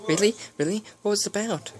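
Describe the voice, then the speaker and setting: A reader voicing an excitable, chatty young female pony in a storybook, gushing and exclaiming. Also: mid-range voice, fast talker, a crowd in the background, voice pushed up, close to an everyday voice. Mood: eager.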